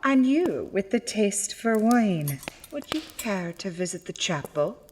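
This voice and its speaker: A woman playing a calm, devout convent nun in a horror audio drama, speaking in a measured, formal way with grave insistence and dry wit. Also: mid-range voice, slight background noise. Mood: wry.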